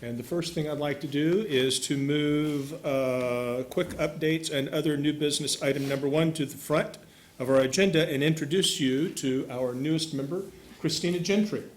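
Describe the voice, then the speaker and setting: Meeting chair, male, pitch a touch high, slow and deliberate delivery. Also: slight background noise. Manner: joking then formal, slow and deliberate. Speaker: board chair. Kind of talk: opening a public meeting.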